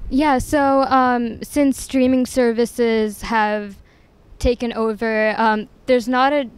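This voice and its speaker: A woman's voice: on the high side, fairly even intonation, slow pace, clear, resonant tone. A young woman asking passersby questions on a city street with a handheld mic, hesitant, with many ums. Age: young adult. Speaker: street interviewer. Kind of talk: street interview. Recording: faint street noise behind.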